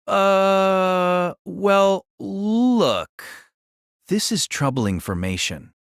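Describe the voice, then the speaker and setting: A woman's voice: medium pitch, lively intonation, very slow, smooth, dry recording, clean studio sound. A woman playing a reserved, polite teenage boy student in an anime-style audio drama, speaking hesitantly. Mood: awkward.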